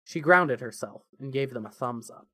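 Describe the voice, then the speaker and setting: A man's voice: mid-range voice, lively intonation, normal speed, fairly bright tone. A man reading scripted story narration for an audio fan-fiction drama.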